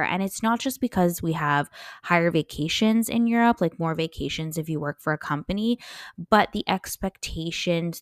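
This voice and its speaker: American woman podcaster with a lowish, dry-recorded voice. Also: no echo. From United States. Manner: chatty, enthusiastic, emphatic. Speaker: podcast host and life coach. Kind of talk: informal solo podcast talk.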